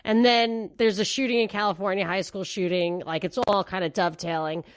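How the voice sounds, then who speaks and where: A woman co-hosting a political talk podcast, talking in an animated, rambling way and restarting her sentences. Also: mid-range voice, fairly quick, no echo. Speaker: podcast co-host. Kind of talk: casual political commentary.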